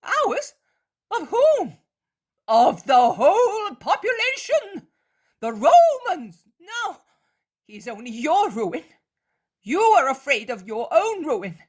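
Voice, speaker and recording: A woman voicing a guilt-ridden, torn male disciple who pleads in high-strung outbursts. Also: wildly swooping pitch, takes it slowly, pauses often, heavily acted. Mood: scornful.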